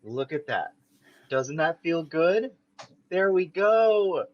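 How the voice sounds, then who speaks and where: A man's voice: a little high, very animated, slow pace, dull audio. A man reading a vain, bossy young man in an amateur table read. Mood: coaxing. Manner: bossy, coaxing, self-important.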